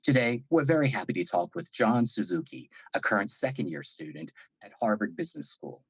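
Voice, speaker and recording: A man hosting an education podcast, asking formal, polite questions in a measured way. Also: fairly low pitch, lively intonation, gravelly, husky, no echo. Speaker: podcast host. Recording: dull lo-fi sound.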